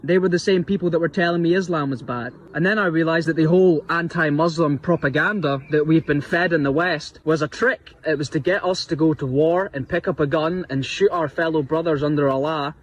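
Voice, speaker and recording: A man's voice: on the high side, a little fast, hardly any pauses. A man delivering an impassioned, preachy monologue in an intense tone.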